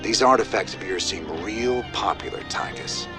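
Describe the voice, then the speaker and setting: An actor playing a weary, wry rebel space commander in a video game, with dry, folksy military talk. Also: male voice, on the low side, moderate pace, lightly acted. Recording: music behind.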